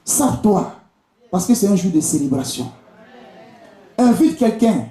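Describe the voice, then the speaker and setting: A man's voice: high-pitched, big pitch swings, a little slow, warm tone. A man with an Ivorian accent preaching a charismatic church sermon, exhorting the congregation directly and repeating key phrases. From Côte d'Ivoire. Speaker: visiting preacher and prophet. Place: indoor church during a live service. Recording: echoey church hall sound.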